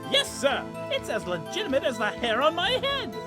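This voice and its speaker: Woman as a shifty, squeaky, swooping male crook. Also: huge pitch swings, normal speed. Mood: shifty, ingratiating. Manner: over-insistent, protesting honesty. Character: shifty, fawning male crook posing as honest businessman. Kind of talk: comic fan dub of courtroom game. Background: background music.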